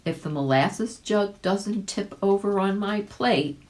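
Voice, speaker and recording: A woman's voice: medium pitch, slow, darkish tone. A woman reading aloud as a volunteer, narrating a children's storybook expressively.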